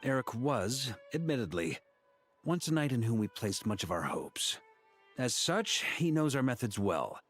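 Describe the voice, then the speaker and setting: Formal, expressive knight. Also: male voice, mid-range voice, soft background music. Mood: grave, composed. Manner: formal, measured. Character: dutiful male knight, formal, composed and grave. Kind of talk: video game voice acting.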